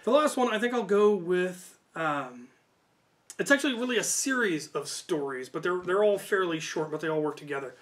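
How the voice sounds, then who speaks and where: A man's voice: sits high, animated, normal speed, fairly bright tone. A man telling stories casually as a video host, in an informal, hedging way that often corrects itself. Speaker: YouTube host. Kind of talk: casual rambling storytelling.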